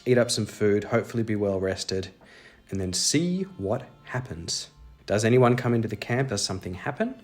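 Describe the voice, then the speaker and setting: A man's voice: lowish voice, expressive, normal speed, faint music behind. A man thinking aloud, unscripted, as he plays a tabletop game alone, musing to himself. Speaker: solo roleplayer.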